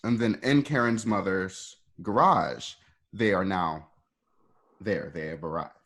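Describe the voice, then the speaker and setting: A man's voice: deep voice, a little slow, fairly bright tone. A man reading out stage directions for a radio play in an informal, conversational way, with asides to the listeners. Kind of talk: live table-read narration of a screenplay.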